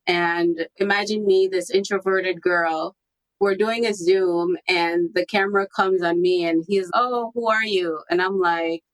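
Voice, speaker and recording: A woman's voice: on the low side, poor audio. A woman guest on a podcast interview, telling her personal story in a candid, reflective way. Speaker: podcast guest, copywriting strategist.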